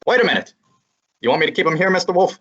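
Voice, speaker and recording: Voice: medium pitch, lively intonation, very fast, a bit bright, breathy edge, highs cut off. A performer playing a brash, wisecracking young assistant. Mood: needling. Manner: brash, teasing, breezy. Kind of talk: old-time radio drama acting and narration.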